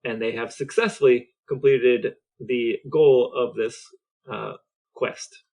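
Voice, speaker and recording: A man chatting casually as a podcast co-host, explaining things in a halting, conversational way. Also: mid-range voice, fairly even intonation, creaky, a little mellow, low-quality recording.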